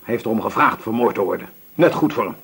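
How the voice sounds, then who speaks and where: An actor playing a sullen, defensive nineteen-year-old male army corporal in a radio drama, curt and evasive, with a raspy voice. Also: fairly low pitch, expressive, fast, a bit bright, full character voice. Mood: bitter, defiant. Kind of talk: Dutch radio drama acting.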